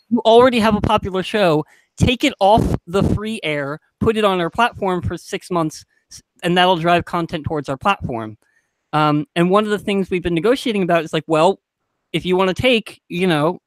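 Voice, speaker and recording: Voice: medium pitch, dry recording, low-quality recording. A man speaking as a panelist in a casual discussion, frank and informal with a dry turn of phrase. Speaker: podcast panelist.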